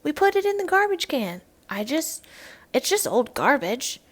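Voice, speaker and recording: A woman's voice: high voice, sing-song, normal speed, voice pushed up. A woman voicing a curious, easily amazed young schoolchild in a storybook, full of questions and exclamations. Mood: matter-of-fact.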